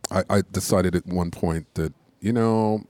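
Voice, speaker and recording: A man in a casual interview, talking about his music in a relaxed, halting way with many pauses. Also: sits low, dry recording. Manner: relaxed, hesitant with many ums. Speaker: musician guest.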